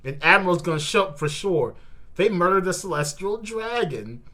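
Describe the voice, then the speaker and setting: This is an animated host doing a live manga reaction. The delivery is casual and slangy, full of repeated words and exclamations, with a very lively pitch range and a bright, punchy tone. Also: moderate pace.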